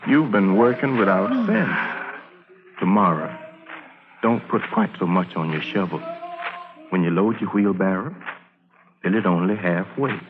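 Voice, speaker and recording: Casting: protective Southern working man, lower register, plainspoken, cautious; 1940s-style radio drama on a thin recording. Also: unhurried, long pauses. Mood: kindly advising. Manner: plain folksy speech, steady and cautioning. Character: kindly, wary Southern laborer, protective of a spy. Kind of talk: old-time radio drama acting. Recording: narrow, noisy vintage radio recording.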